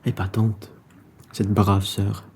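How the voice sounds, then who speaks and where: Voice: deep voice, level tone, slow pace, a little mellow, slightly rough, exaggerated voice. An actor playing a blunt, irritable, self-serving bourgeois traveller in a French radio play, with a brusque manner. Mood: pleased.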